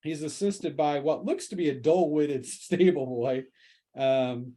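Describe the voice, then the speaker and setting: A man narrating a tabletop fantasy game as game master, improvising in a halting, hesitant way. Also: on the high side, warm tone.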